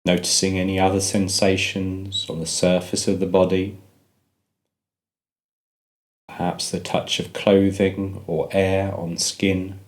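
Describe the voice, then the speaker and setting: Male meditation guide, low-pitched voice, gentle measured delivery with pauses. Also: monotone.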